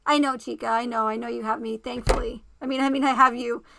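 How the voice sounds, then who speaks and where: A woman hosting a casual livestream, talking straight to her viewers by name and repeating herself, her voice going raspy. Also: a little high, steady tone, fast, background noise. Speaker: livestream host.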